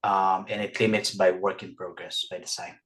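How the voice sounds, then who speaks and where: A man's voice: sits low, level tone, normal speed, a bit bright. Hesitant man. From Philippines. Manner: hesitant, many uhs. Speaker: audience member asking a question. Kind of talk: webinar question, casual.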